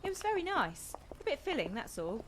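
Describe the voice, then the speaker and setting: Radio drama: woman as friendly, curious woman, fairly high, lively intonation, noisy backdrop. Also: a little fast. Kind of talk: radio play acting, naturalistic chat.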